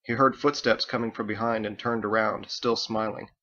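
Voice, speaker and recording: A man's voice: fairly low pitch, steady tone, normal speed, pushed and bright, lo-fi sound. A man reading his story aloud as dramatic scripted audiobook narration. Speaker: author reading own book.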